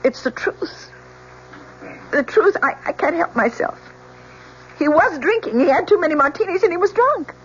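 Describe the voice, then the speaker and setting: An actress playing an anguished, truthful young woman in an old-time radio drama, speaking in a halting, earnest, emotional way. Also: very high voice, very animated, a bit bright, exaggerated voice. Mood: distraught, insistent. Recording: muffled, noisy old radio drama recording.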